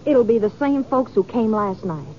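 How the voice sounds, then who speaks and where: A woman's voice: on the high side, expressive, normal speed, natural voice. A woman playing a coaxing, theatrical travelling actress in a 1950s radio western, dramatic and pleading in her manner. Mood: dismayed. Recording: muffled, noisy old radio recording.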